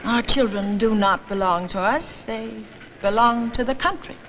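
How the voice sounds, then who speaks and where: A woman's voice: a little high, unhurried, a bit bright, lo-fi sound, slight background noise. Woman acting a resigned, patriotic father. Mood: solemn, resigned.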